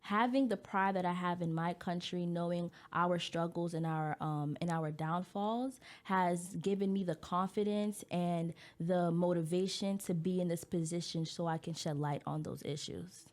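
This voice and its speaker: Proud young woman, low voice. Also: fairly even intonation, moderate pace, hardly any pauses. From Baltimore, USA. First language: French. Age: young adult. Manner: earnest, proud, talks in short flowing phrases with "like". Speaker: guest, pageant titleholder. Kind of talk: casual interview. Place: college radio studio.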